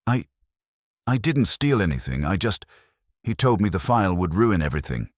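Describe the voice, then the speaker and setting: A man's voice: sits low, very animated, moderate pace, dry recording, studio quality, pitched above the performer's own voice. A man voicing a tense, defensive woman suspect in a mystery audiobook, indignant and faltering. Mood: shaken, confessing.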